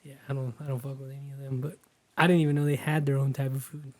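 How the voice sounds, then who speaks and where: A man's voice: mid-range voice, level tone, fast talker, no room sound. A man hosting a podcast, chatting casually with lots of swearing. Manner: profane, laid-back banter. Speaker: podcast host. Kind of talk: casual chat.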